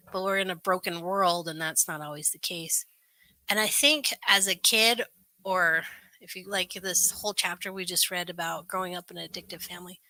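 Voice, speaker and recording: A woman's voice: on the low side, sharp tone, no room sound, rough recording. A woman on a recovery show panel, sharing personal reflections in an informal, conversational way.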